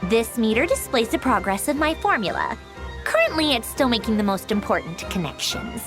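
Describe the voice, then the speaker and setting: A woman's voice: on the high side, sing-song, normal speed, over music. A woman voicing a haughty young genius inventor in a video game, smug, theatrical and impatient. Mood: proud.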